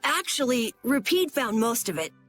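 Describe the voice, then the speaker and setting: A woman as an eager, high-pitched young boy. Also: big pitch swings, moderate pace, fairly bright tone, faint music behind. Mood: modest, earnest. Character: eager, earnest young boy, modest guild boss. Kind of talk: video game voice acting.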